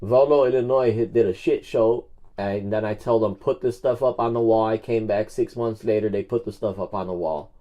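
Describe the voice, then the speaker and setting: A man with a low, very mellow, relaxed voice hosts a casual livestream. He talks in a blunt, colloquial way, often circling back to repeat a phrase as he chats or handles a call. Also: moderate pace. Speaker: livestream host making records-request phone calls.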